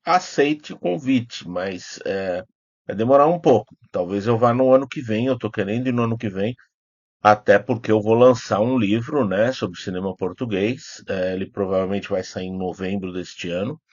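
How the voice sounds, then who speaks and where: A man with a fairly low voice and a Brazilian accent talks as if to his channel's followers, loosely and without a script. He wanders through his sentences, hesitating and correcting himself as he goes. The sound is somewhat dull and lo-fi. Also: moderate pace, a little mellow, dry recording. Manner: rambling, hesitant, self-correcting. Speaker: YouTube host, film critic. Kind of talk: casual unscripted vlog talk, answering comments.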